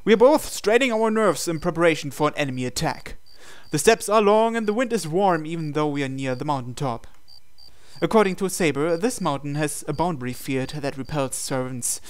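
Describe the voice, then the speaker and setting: Higher-pitched man reading a visual novel. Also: lively intonation, a little fast, clear, resonant tone. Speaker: host reading a game aloud. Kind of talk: narrated visual novel playthrough with commentary.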